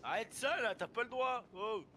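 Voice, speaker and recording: A man's voice: very high-pitched, lively intonation, normal speed, pushed and bright, slight background noise. A young man chatting casually as a player in an online roleplay game.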